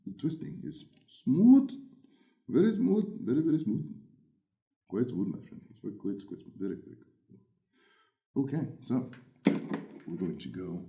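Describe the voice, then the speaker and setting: Playful male host with a big voice and lively swings, for casual gear unboxing. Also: mid-range voice, unhurried, vocal fry, pauses often. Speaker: headphone reviewer. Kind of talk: casual unboxing first impressions.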